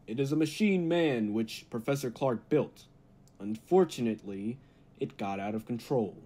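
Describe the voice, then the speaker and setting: A man's voice: mid-range voice, lively intonation, warm tone, lightly acted. Man playing a formal, earnest lab assistant. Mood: calm, reassuring. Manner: formal, measured. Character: earnest, calm male laboratory assistant scientist. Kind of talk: 1940s radio drama acting.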